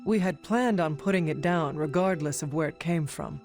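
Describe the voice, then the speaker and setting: Man as a solemn, higher-voiced young hunter. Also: moderate pace, lightly acted. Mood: firm. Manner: formal, uncontracted, stately phrasing. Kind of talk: video game cutscene acting. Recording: loud game music behind.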